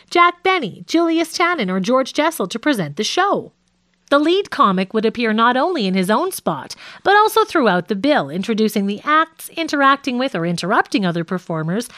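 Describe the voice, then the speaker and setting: A woman reading a nonfiction audiobook as a scripted narration, with measured, clearly phrased delivery. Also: fairly high pitch, a bit bright, no echo, studio quality.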